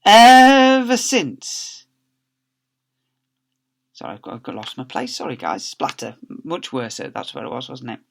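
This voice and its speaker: A man reads a children's storybook aloud in a mid-range voice. He has a teacher's delivery, expressive and theatrical, with playful emphasis for a young audience. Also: medium pitch, brisk, gravelly, few pauses, breathy edge.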